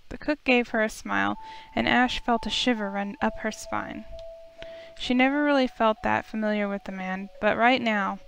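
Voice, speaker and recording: A woman's voice: fairly high pitch, normal speed, fairly bright tone, slight background noise, poor audio. A woman reading a visual novel aloud as story narration for a playthrough. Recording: music clearly behind the voice.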